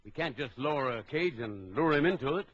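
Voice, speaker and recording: An actor playing a bold big-game trapper in an old children's adventure record, briskly instructing and giving orders. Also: male voice, mid-range voice, animated, a bit bright, natural voice. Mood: urgent, resolute. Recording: dull old record with background crowd noise.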